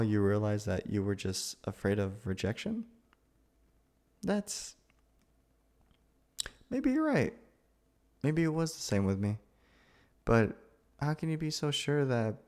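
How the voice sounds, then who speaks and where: A man performs a sweet, awkward young man in an ASMR boyfriend roleplay. He is nervous and hesitant, often tripping over his words. His pitch is mid-range for a man but moves around in a very animated way. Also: measured pace, long pauses, lightly acted. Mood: flustered, amused. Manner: hesitant, stammering, flustered.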